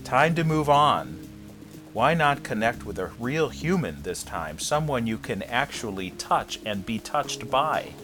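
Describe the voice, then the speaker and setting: A man's voice: medium pitch, sing-song, a little slow, over music. A man hosting an advice podcast, reading listener letters aloud and answering them in a blunt, jokey, frank way.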